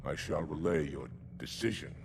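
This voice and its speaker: Deep, gravelly alien commander. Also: male voice, normal speed, background noise. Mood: restrained duty. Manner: clipped, formal. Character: proud stern alien warrior commander, indignant yet dutiful. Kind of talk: cinematic video game acting.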